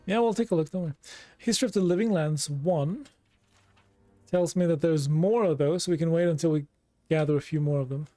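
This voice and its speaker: A man's voice: on the high side, brisk, warm tone, faint music behind. A man with a Norwegian accent giving chatty let's play commentary, reacting aloud and talking to his chat. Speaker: streamer.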